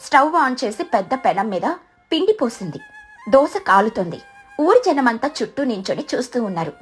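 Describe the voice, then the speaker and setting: Female storyteller for animated kids' tales: scripted read, moderately high register, faint music bed. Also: normal speed. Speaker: narrator. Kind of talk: scripted children's story narration.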